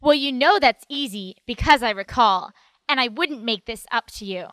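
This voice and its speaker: A woman's voice: fairly high pitch, animated, moderate pace, fairly bright tone, dry recording, pitched above the performer's own voice, poor audio. A woman voicing a bossy, teasing little girl in a storybook reading, sing-song and tattling.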